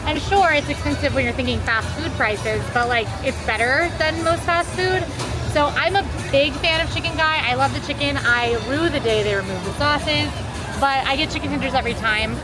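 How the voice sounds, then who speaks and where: A woman's voice: sits high, normal speed, rough recording. A woman co-hosting a casual food review, chatty and enthusiastic. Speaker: co-host reviewing theme park restaurants. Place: outdoors at a busy shopping and dining area. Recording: music and crowd noise behind, outdoors.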